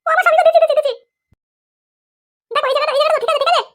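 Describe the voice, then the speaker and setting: A woman voicing a sugary, obedient young wife in a comic cartoon, gushing through a pitched-up effect. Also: steady tone, very fast, a bit bright. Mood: fussing. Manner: gushing, sing-song, fussing over husband.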